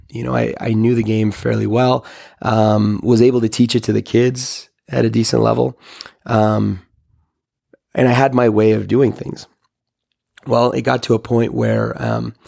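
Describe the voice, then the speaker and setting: A middle-aged man, a hockey coach, talking in a rambling, reflective way with a hoarse voice that is only just coming back. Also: mid-range voice, a little mellow. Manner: rambling, many fillers and restarts. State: hoarse, recovering lost voice. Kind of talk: casual reflective monologue.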